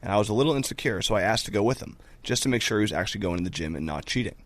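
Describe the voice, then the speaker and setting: A man with a lowish voice reads listener letters aloud for a podcast. He moves quickly and keeps the delivery casual. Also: lively intonation, dry recording. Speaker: podcast co-host reading listener questions.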